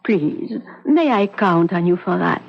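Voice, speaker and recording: An actress playing an eager, coaxing society hostess in an old radio drama, fluttery and pleading. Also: female voice, on the low side, expressive, measured pace, gravelly, exaggerated voice. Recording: dull vintage radio recording.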